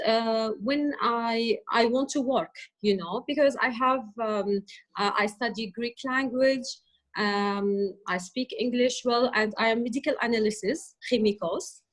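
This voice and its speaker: A woman from Palestine answering at an online press conference in earnest, halting English. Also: fairly high pitch. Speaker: refugee speaker. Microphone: video call.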